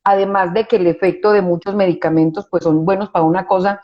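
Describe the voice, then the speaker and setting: A woman's voice: on the low side, steady tone, fast talker, dull audio. A woman psychologist, explaining her view in an interview, often restarting phrases and adding tag questions for agreement. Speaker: psychologist guest.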